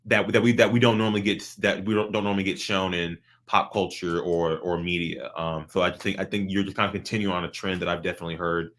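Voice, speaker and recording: Man hosting, low-pitched. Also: level tone, quick pace, hardly any pauses, a bit bright. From North Carolina, USA. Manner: thoughtful, rambling, many restarts. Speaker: host. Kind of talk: casual interview hosting.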